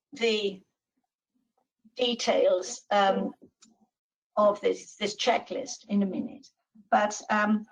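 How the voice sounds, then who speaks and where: A woman with a Welsh accent giving a deliberate legal presentation on a video call, with frequent pauses and fillers. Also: medium pitch, slightly rough, distant mic, poor audio. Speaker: law professor speaking on a webinar. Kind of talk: measured expert presentation.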